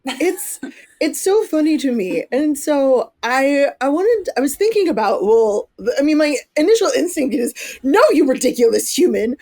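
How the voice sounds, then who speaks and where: A woman's voice: high-pitched, animated, moderate pace, mellow. A woman in her thirties hosting a podcast, chatting casually, laughing often and swearing now and then. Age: 30s. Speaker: podcast host. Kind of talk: casual chat.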